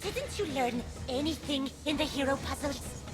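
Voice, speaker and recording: A woman's voice: high-pitched, expressive, moderate pace, over music. A woman playing a childish, insecure goddess in a video game, with a hesitant, plaintive delivery. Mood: zealous. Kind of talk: animated video game acting.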